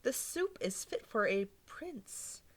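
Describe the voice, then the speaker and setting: A woman reading aloud and voicing a fussy little old woman, with a high, hushed, slow and wondering voice. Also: very animated, exaggerated voice. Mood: marveling.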